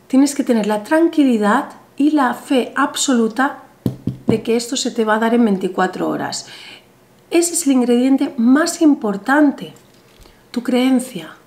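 A woman in her thirties with a Spain Spanish accent, seated at a table, explaining a ritual step by step in a chatty, animated way. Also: on the high side. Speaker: ritual instructor. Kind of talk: step-by-step ritual tutorial to camera. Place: small bright room indoors, seated at table. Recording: roomy echoey room sound.

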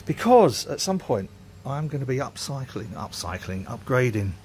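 A man's voice: mid-range voice, sing-song, moderate pace, darkish tone, a little hiss or hum. A man, rambling casually and self-mockingly while filming his home brewery workshop on a handheld phone.

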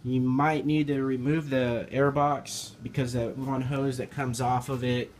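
A man talks through a hands-on repair in a casual, informal way. His delivery is halting and hesitant, at an average male pitch. It sounds like an amateur handheld camera recording, with faint noise behind the voice. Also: level tone. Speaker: amateur car repair video maker. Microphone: handheld camera held over the engine.

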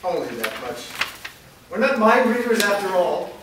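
A man's voice: sits high, sing-song, normal speed. A man playing a haughty vampire elder in a staged play reading, with a scornful manner. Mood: haughty, defiant. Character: haughty male vampire elder, servant of a master. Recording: echoey room, far mic, faint noise.

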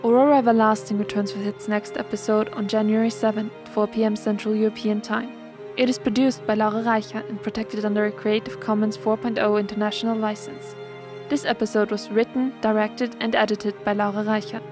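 A woman's voice: medium pitch, flat delivery, fairly quick. A woman reading scripted end credits as the announcer of an audio drama podcast. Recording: clear music behind voice. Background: music.

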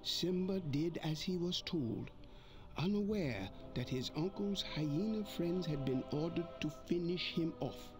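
Storybook narrator, man, unhurried and expressive, lively pitch. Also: music in the background.

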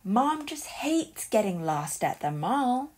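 A woman reading a children's picture book aloud as a storyteller, in an expressive and deliberate way. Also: a little high, slightly rough.

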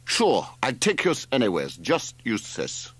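Loud, gruff taxi driver. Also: male voice, huge pitch swings, measured pace, exaggerated voice. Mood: boastful, eager. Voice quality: rumbling, roaring. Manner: blunt, boastful, mangled grammar. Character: gruff, barrel-bodied Greek taxi driver with broken American-learned English.

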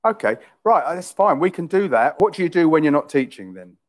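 A man's voice: fairly high pitch, very animated, a little fast. A middle-aged man from England with a London accent, tutoring English over a video call in a chatty way, often repeating and rephrasing his questions. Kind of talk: casual video-call conversation. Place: small room indoors. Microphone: headset.

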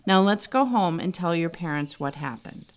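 A woman's voice: fairly low pitch, expressive, normal speed, lightly acted. A woman voicing a clever, caring young male elephant in a storybook, earnest and reassuring. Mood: gently scolding.

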